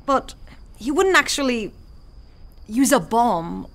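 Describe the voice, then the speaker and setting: Curious, polite young woman, highish voice. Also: big pitch swings, slow, fairly bright tone, full character voice. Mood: earnest, curious. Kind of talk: radio play acting.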